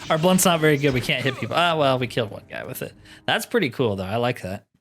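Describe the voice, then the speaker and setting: A man's voice: medium pitch, lively intonation, quick pace, fairly bright tone. A man giving casual, slangy, informal commentary while playing through a roleplaying game. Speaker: game streamer doing roleplay playthrough.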